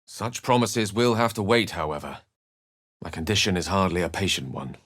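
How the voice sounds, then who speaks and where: A man's voice: sits low, lively intonation, moderate pace, a bit bright, no echo, studio quality. A man voicing an eloquent, bookish, theatrical wizard in a video game, speaking with refined and wry wording. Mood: measured, wry. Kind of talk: video game character dialogue and narration.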